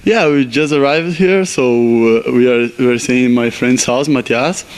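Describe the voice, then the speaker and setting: Casting: young man from Brazil, friendly, repeats himself, mid pitch. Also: normal speed, clean tone. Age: young adult. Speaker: exchange student being interviewed.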